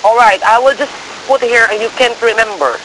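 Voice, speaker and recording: A man with non-native English phrasing giving a persistent, partly scripted telephone sales pitch, often checking that the listener follows. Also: normal speed. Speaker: cold-call share scammer posing as broker. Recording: noisy phone call with crackly hiss.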